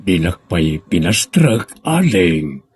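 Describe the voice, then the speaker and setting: A man's voice: deep voice, big pitch swings, slow, gravelly, darkish tone, pitched below the performer's own voice, heavily acted. A man voicing a teasing older man in a radio drama, bantering and protesting with a Filipino accent. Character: teasing older man insisting on being called big brother.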